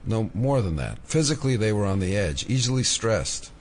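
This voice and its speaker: Low-voiced, wry, driven agent. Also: male voice, big pitch swings, normal speed, darkish tone. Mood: earnest, insistent. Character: obsessive, wry male FBI agent, conspiracy believer. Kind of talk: audio drama dialogue.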